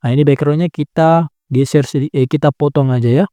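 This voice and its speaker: A man's voice: a little high, fairly quick, dry recording. A man walking through a phone video edit step by step, correcting himself as he goes. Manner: calm instructing with frequent "nah" and self-corrections. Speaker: video editing tutor. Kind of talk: step-by-step screen tutorial.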